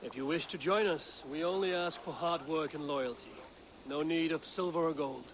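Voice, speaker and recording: An actor playing a stern, commanding Norse clan leader in a video game, speaking with blunt control. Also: male voice, on the high side, moderate pace, a little hiss or hum, lightly acted. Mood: calm authority.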